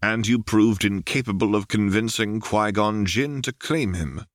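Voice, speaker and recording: Low, world-weary Jedi man, read by a man. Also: a little slow, no room sound, studio quality, close to an everyday voice. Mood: angry, tired. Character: world-weary Jedi man in his thirties, wry, resolute.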